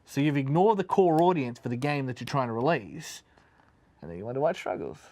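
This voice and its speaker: A man talks at a quick clip as co-host of a gaming discussion. He is opinionated and emphatic, laying out his case in casual language. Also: mid-range voice, lively intonation, dark tone. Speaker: show co-host. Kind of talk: casual video game discussion.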